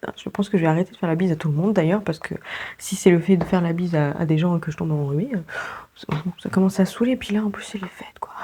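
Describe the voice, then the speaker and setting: A woman's voice: medium pitch, warm tone, slightly rough. A young woman vlogging casually, congested with a sore throat, chatting with many fillers and laughs. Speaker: book vlogger. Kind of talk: casual vlog chat.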